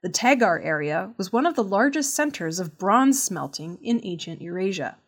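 A woman presents scripted news in a medium-pitched voice. Her delivery is measured, and she pronounces technical terms with care. It sounds like a prepared narration read by a news presenter. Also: lively intonation, breathy edge, faint music behind. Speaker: news reader.

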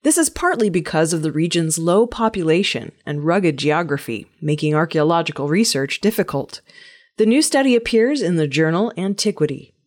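A woman news presenter reading scripted news stories in a measured, clearly enunciated way. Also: fairly low pitch, lively intonation.

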